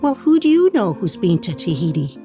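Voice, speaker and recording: An actress in a radio play playing a contented middle-aged wife, demurring in an understated way. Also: female voice, lowish voice, sing-song, moderate pace, dark tone, dull audio, faint music behind. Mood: wistful, matter-of-fact.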